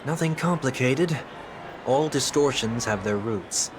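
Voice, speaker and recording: An actor voicing a composed, formal young man of principle in a video game, speaking in a measured way. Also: mid-range voice, expressive, noisy background, close to an everyday voice. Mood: resolute. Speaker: game character. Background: game background music.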